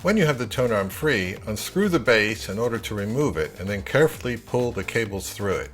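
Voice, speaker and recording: A man narrating a casual hands-on how-to, unhurried and thinking aloud as he works. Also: lowish voice, sing-song, soft background music. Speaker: repair tutorial presenter.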